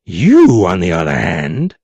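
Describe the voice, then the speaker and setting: A man's voice: deep voice, huge pitch swings, slow pace, darkish tone, slightly rough, no echo, heavily acted. A man voicing a menacing, scornful thug henchman in a phlegmy growl for an audio story. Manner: taunting, scornful. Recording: dull muffled lo-fi audio.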